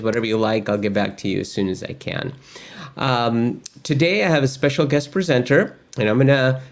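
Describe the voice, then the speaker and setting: Guy hosting a tech lesson livestream, chatty, lots of ums, normal pitch. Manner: chatty, friendly, many fillers. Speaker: course host. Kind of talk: casual livestream lesson intro.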